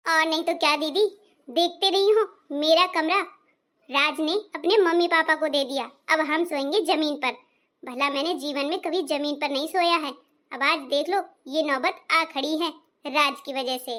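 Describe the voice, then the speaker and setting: A woman's voice: sits high, fairly even intonation, moderate pace, fairly bright tone. A woman doing a defiant young bride. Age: young adult. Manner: indignant, complaining. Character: young newlywed wife, sulky, defiant. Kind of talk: cartoon voice acting.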